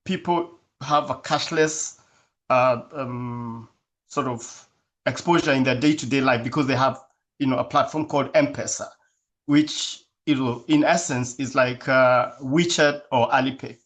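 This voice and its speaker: A man from Kenya who is a guest in a casual interview. He speaks in a measured, thoughtful way and often pauses with fillers. His voice sits at an ordinary middle pitch for a man. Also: a bit bright.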